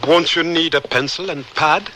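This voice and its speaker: A man's voice: a little high, normal speed, pushed and bright. A man playing an eager, polite, educated young Liberian newcomer in a radio drama, speaking formally with hesitant stammers. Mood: polite, unsure. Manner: earnest, formal, courteous. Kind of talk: old-time radio drama acting. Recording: noisy radio drama recording.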